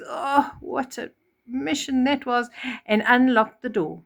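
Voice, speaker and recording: Woman storyteller, medium pitch, expressive children's storybook narration, chatty asides, animated reactions. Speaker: storyteller reading a children's fairy tale.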